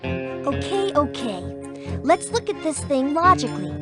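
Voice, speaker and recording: A woman's voice: sits high, very animated, measured pace, over loud music. A woman voicing a decisive, wisecracking young adventurer who leads a team in a children's computer game. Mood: confident, wry. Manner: decisive, slangy.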